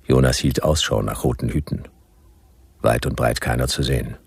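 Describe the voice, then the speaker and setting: An actor playing a hardboiled, laconic detective who narrates drily and deadpan in a radio play, with a gravelly voice. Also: male voice, very deep voice, moderate pace, dry recording, heavily acted. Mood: dry, deadpan. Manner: dry, deadpan. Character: hardboiled laconic male detective narrating his Western ordeal. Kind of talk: radio play first-person noir narration.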